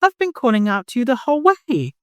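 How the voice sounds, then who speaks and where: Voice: medium pitch, sing-song, a little fast, dry recording, studio quality, voice pushed up, natural voice. A narrator voicing an older woman, a devoted nurse who scolds and frets in urgent exclamations. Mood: crying out.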